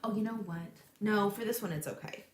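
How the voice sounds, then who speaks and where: A young woman hosting a live crafting stream from her home craft room, chatting casually, thinking aloud, laughing often and reading out viewer comments in a loud voice. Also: medium pitch, fairly quick, roomy sound, a little hiss or hum. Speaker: crafting livestream host. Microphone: tablet mic across the room.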